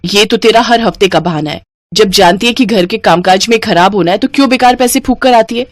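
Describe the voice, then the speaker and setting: A woman voicing a bossy, quarrelsome elder sister-in-law with a sharp-tongued, sarcastic way of scolding, in a family story. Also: medium pitch, fairly quick, no room sound, lightly acted. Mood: exasperated scolding.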